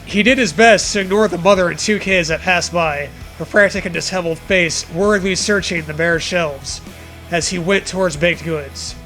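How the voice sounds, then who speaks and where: A man's voice: high-pitched, moderate pace, bright, forceful tone, soft background music. A man with a mocking, profane manner, reading fan fiction aloud for comedy and bantering casually with a co-host.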